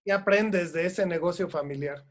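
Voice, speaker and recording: Slightly higher-pitched male interviewer. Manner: halting questions with fillers, short acknowledgements. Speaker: interviewer, show host. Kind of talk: interview questions.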